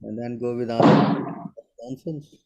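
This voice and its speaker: A man's voice: mid-range voice, steady tone, unhurried, creaky, muffled recording, heavy background noise. A man in his sixties answering devotional questions in an informal online session, often checking whether listeners understand. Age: 60s. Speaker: spiritual teacher answering questions.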